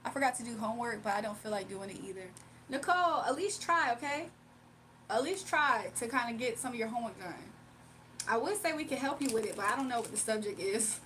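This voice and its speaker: A woman's voice: on the high side, lively intonation, brisk, fairly bright tone, a little hiss or hum. A young woman streaming live, chatting casually with her viewers, laughing often and reading out chat messages.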